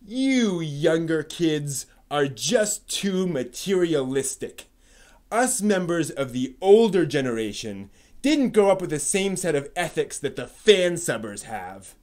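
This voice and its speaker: Man acting indignant older fan, self-righteous, raised pitch, preachy declamation, drawn-out emphasis, dramatic reading. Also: very animated, darkish tone.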